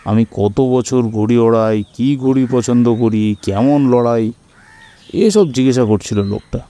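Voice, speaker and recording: Radio drama: earnest, chatty male hobbyist, proud of his kites, very soft dark tone man acting. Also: mid-range voice, unhurried, close to an everyday voice. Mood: recounting. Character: Fatikbabu, keen kite-flying man, new tenant, earnest and proud. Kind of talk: radio audio-story acting, conversational storytelling.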